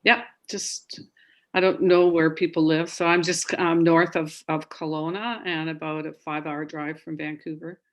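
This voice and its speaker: An older woman from Canada introduces herself on a video call, pausing often with ums and restarting her sentences. Her voice sits somewhat low for a woman. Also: little pitch movement. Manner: hesitant, with many filler ums and restarts. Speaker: circle participant and volunteer board member. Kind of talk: personal introduction in an online circle.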